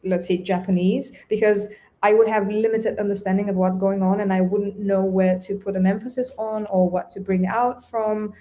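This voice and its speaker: Young woman from Bulgaria, native Bulgarian speaker, casual video-call interview guest, middle pitch. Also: fairly even intonation, moderate pace, dark tone. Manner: thoughtful, with frequent restarts. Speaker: guest designer.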